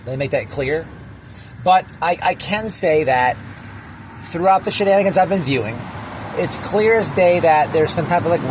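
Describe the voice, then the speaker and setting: Man, high-pitched, opinionated, halting and repetitive, crude slang, casual reaction vlog, noisy background. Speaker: online commentator.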